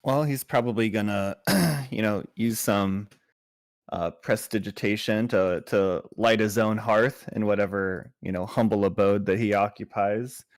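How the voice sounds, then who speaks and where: A man's voice: fairly low pitch, fairly even intonation, a little slow, dry recording, studio quality. A man playing a tabletop game, casually improvising what his character does and thinking aloud as he goes.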